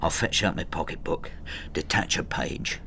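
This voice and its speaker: A man's voice: deep voice, moderate pace, gravelly, pushed and bright, a little hiss or hum, full character voice. An actor playing an embittered, spiteful old husband who narrates his marital war in a brooding, intimate radio drama style. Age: older.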